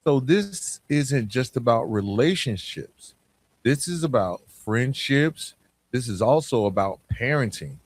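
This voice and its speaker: A man hosting a podcast, giving a reflective motivational monologue, speaking directly to the listener with emphatic pauses. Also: mid-range voice. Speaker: podcast host.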